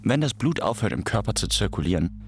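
Low-voiced clinical narrator. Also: male voice, big pitch swings, a little fast, background noise. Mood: sober, clinical. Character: sober clinical lecturer on death and decay. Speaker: narrator and lecturer in a radio play. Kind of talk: scripted scientific narration.

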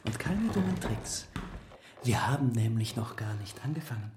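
An actress playing a menacing, mocking interrogator in a radio play, threatening and taunting. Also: female voice, rumbling low, animated, normal speed. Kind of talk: German radio play acting. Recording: noisy background with clicks.

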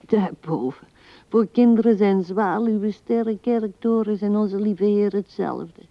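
An actress playing an old widow who muses tenderly and haltingly to her dead husband in a radio play, often sinking to a whisper. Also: medium pitch, no echo, close to an everyday voice. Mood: tender reminiscing. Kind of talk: intimate radio play monologue. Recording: dull, dry vintage radio recording.

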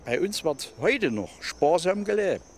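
Älterer Mann, lebhafte Sprachmelodie. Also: male voice, a little high, sing-song, a little slow. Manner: emphatic, repeating phrases. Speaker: interviewee. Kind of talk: spontaneous opinionated talk.

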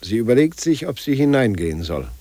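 A man narrates a 1950s radio play. His mid-range voice is measured and deliberate, with a widely moving melody across terse sentences. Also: medium pitch, sing-song. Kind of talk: 1950s scripted dramatic narration.

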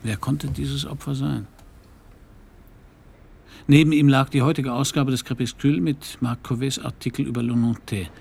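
A man acting as a cynical private eye in a crime radio play, his voice gravelly and creaky at a middling pitch. He speaks in a laconic, sardonic way, gruff and worldly, over faint ambient noise. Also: steady tone, moderate pace, long pauses, darkish tone, natural voice. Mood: sardonic, brooding. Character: cynical, hard-boiled male private detective narrating his case. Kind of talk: first-person crime radio play narration and dialogue.

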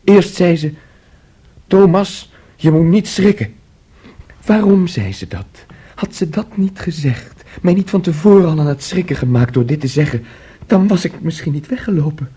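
An actor playing a troubled, fearful young man in a radio play, speaking in a dreamy, brooding, halting way. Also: fairly high pitch, lively intonation, mellow, husky. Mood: shaken.

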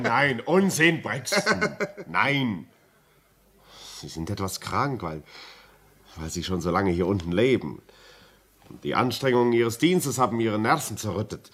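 An actor playing a rational, concerned gentleman in a radio play, speaking in an emphatic, admonishing yet reassuring manner. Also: medium pitch, very animated, slow, slightly rough, heavily acted. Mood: dismissive reassurance, then calm, admonishing. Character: rational, concerned gentleman urging a troubled friend to reason. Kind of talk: German radio play acting.

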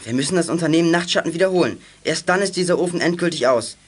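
A man's voice: a little high, breathy edge. An actor playing a decisive, commanding teenage boy detective who leads his gang in a children's audio drama. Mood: concerned, determined. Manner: commanding, brisk.

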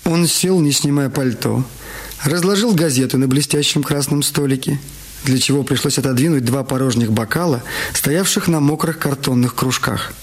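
A man's voice: mid-range voice, moderate pace, husky. A man narrating a literary detective story as part of an audio drama. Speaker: narrator in a radio play. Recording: music under the voice. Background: music.

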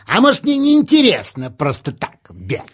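A man's voice: high voice, wildly swooping pitch, moderate pace, husky, full character voice. An actor playing a boastful, giggly male hare in a children's audio play. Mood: cocky. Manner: laughs a lot, teasing and boastful.

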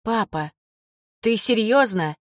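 A woman's voice: on the high side, lively intonation, slow, dry recording, clean studio sound. A woman voicing a hesitant, devoted grown daughter in audiobook dialogue, in a conversational way. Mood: puzzled.

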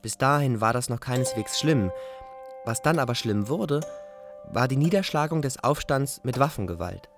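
Actor as a fatalistic young wanderer over music. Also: male voice, mid-range voice, animated, normal speed, natural voice. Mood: matter-of-fact. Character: self-blaming, fatalistic young Iraqi refugee, unlucky wanderer. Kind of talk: literary radio drama narration.

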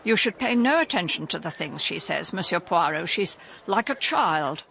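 Elderly genteel country lady, animated intonation, emphatic and guarded, radio mystery drama. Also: fairly low pitch, normal speed, creaky, a little hiss or hum, exaggerated voice, poor audio. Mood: guarded, upset. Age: older.

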